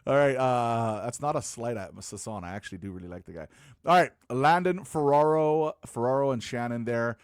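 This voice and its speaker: A man co-hosting a casual podcast, relaxed and quick to laugh, thinking out loud. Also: medium pitch, smooth, no room sound, studio quality. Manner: relaxed, laughs often, speculates aloud. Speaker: co-host.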